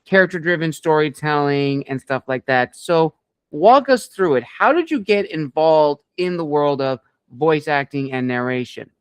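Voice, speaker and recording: A man's voice: on the high side, normal speed, clear, resonant tone, dry recording, studio quality. A man hosting an interview show, speaking conversationally as he leads into questions for his guest.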